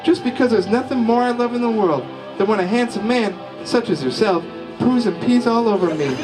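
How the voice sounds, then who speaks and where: Man putting on a high coy young lady voice, pleading, theatrical, music behind. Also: a little fast, crowd noise, low-quality recording. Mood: wistful.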